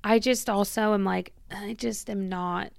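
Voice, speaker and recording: A woman's voice: mid-range voice, steady tone, a little slow, vocal fry, dry recording, studio quality. A woman hosting her own solo podcast, talking candidly and casually with lots of "like" and self-interruptions, a little tired as she recovers from illness. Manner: chatty and candid, heavy use of "like", self-interrupting. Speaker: podcast host.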